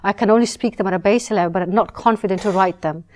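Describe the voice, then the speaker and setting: A woman speaks as a novelist in an interview. She answers at a measured, reflective pace, sometimes restarting a phrase before going on. Her voice sits in a middle pitch. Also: a little mellow. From Britain.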